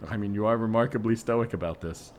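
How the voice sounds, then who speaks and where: A man's voice: on the low side, moderate pace, a little hiss or hum, natural voice. A man playing an uneasy, reluctant but helpful neighbor, hesitant and placating, in improvised audio comedy.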